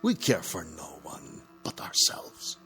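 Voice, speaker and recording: An actor playing a deposed old dictator in a radio drama, defiant and scornful, speaking rhetorically in a gravelly, husky voice. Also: male voice, rumbling low, wildly swooping pitch, slow, bright, forceful tone, heavily acted. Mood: scornful defiance. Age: older. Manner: theatrical, rhetorical. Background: faint music.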